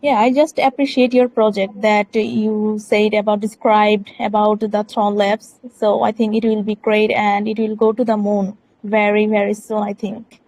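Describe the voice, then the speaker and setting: A woman's voice: mid-range voice, flat delivery, normal speed, few pauses, darkish tone, low-quality recording. A woman hosting a community question session on a video call, repeating simple thanks and phrases. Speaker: community AMA host.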